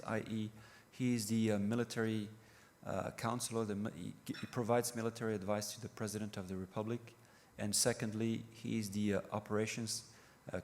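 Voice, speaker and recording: Middle-aged French-accented man, lowish voice, hesitant conference talk in a reverberant hall. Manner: halting, many fillers and restarts. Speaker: panel speaker. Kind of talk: conference presentation. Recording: reverberant hall with faint noise.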